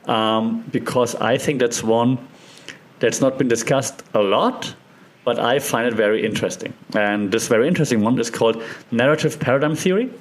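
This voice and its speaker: A man's voice: mid-range voice, moderate pace. A man with a German accent talks through ideas as a podcast host, in a loose, conversational way. He keeps checking in with his listeners as he explains. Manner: chatty, checks in with listeners often.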